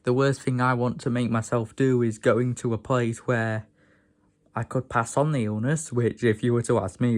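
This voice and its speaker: A young man from England, hoarse from a sore throat, rambling and grumbling in a casual vlog rant. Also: medium pitch, a little mellow. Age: young adult. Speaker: vlogger.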